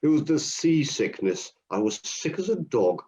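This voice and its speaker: A man's voice: on the high side, big pitch swings, moderate pace, mellow. Guy doing hercules in a radio comedy, breezy and cocksure, swoopy voice, muffled lo-fi sound. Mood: earnest. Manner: cheerfully overconfident, matter-of-fact. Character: Hercules, strong, keen, job-hunting hero. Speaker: job seeker. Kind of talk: comic radio play acting. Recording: dull lo-fi audio.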